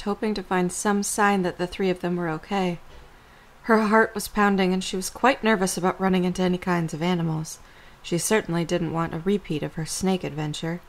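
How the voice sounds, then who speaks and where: A woman's voice: medium pitch, rough recording. A woman reading scripted story narration as the narrator of an audio drama.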